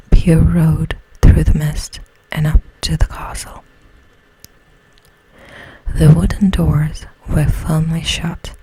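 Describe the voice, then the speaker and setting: A woman reads a bedtime story in ASMR style. Her voice is a very breathy whisper, soft-toned and low. She narrates slowly, as a storyteller. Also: rough recording.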